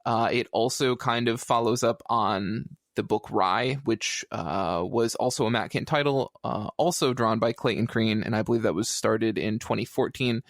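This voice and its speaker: A man's voice: fairly low pitch, moderate pace, hardly any pauses, dry recording, clean studio sound. A man co-hosting a podcast, talking casually in a rambling, hesitant way with tongue-in-cheek asides. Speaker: podcast co-host. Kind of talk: casual comic book review chat.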